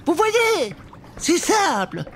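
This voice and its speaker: A man's voice: very high voice, wildly swooping pitch, drawn-out words, slight background noise, voice pushed up, full character voice. A man voicing a bossy, mean old ogress in a fairy tale, scolding in a strained shriek. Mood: scornful. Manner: scolding, exclaiming.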